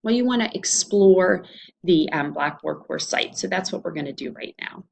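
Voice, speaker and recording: Low, creaky-voiced woman with Ohio Valley accent. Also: lively intonation, normal speed. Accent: Ohio Valley American. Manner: conversational, explanatory. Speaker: college instructor. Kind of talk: informal screencast course walkthrough.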